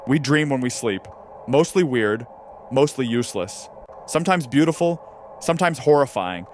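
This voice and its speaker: An actor playing a weary, candid time-traveling interviewer, speaking in a wry, understated, terse way in a conversational audio drama. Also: male voice, medium pitch, animated, normal speed, darkish tone, a little hiss or hum, close to an everyday voice. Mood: subdued, curious.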